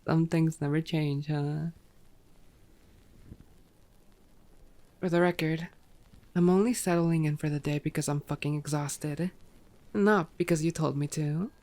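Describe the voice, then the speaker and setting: A woman's voice: low voice, steady tone, normal speed, dark tone. A woman voicing a playful, cocky female vampire in flirty, sardonic ASMR roleplay. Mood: dry grumbling. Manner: flirty, sardonic, foul-mouthed banter.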